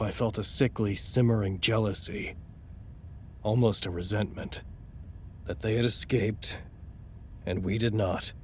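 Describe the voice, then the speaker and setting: A man's voice: fairly low pitch, a little mellow, slight background noise, natural voice. An actor playing a wry, anxious male facility officer in an audio drama, with formal, articulate speech.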